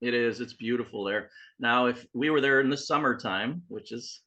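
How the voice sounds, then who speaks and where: A middle-aged man with a bright, forward voice, answering interview questions as a guest author. He spins long, loose anecdotes full of asides. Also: mid-range voice, moderate pace, lo-fi sound. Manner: chatty storyteller, rambling asides.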